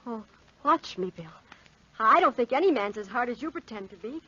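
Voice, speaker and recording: High female voice, spurned working-class sweetheart, American, pleading and slangy, muffled vintage radio melodrama. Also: expressive, a little fast, pushed and bright, breathy edge, full character voice. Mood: earnest pleading. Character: lovesick, desperate working-class young woman, spurned sweetheart. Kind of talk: 1940s radio melodrama acting. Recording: muffled, band-limited 1940s radio recording.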